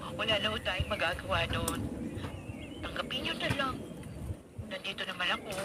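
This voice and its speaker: An actress playing a gentle, dutiful young woman, a devoted niece, in a Filipino radio drama. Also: mid-range voice, expressive, normal speed, lightly acted. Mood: reassuring. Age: young adult. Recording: very noisy radio drama broadcast.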